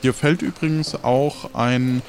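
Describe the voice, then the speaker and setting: A man's voice: medium pitch, fairly even intonation, a little slow. A man narrating an improvised adventure as game master in casual, conversational speech.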